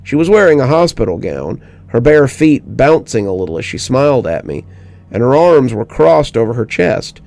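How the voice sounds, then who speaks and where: A man narrating a scripted first-person horror story in a measured storytelling manner. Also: mid-range voice, big pitch swings, creaky, darkish tone.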